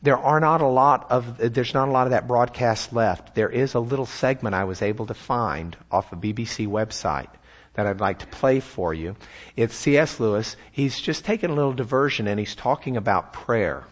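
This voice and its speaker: A man's voice: medium pitch, expressive, normal speed. A man with a Texan accent giving a folksy, self-deprecating church talk about a writer's conversion, often repeating words as he builds a point. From Texas. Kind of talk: sermon-style talk with slides.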